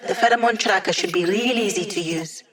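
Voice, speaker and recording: A woman plays a sly young hacker who teases her team with wry one-liners. Her voice sits at an ordinary middle pitch, and she keeps the banter light and knowing. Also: a bit bright, close to an everyday voice. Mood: teasing. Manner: wry, teasing, quick quips. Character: witty young female hacker, playful and sly. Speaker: hacker support character. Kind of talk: video game voice lines.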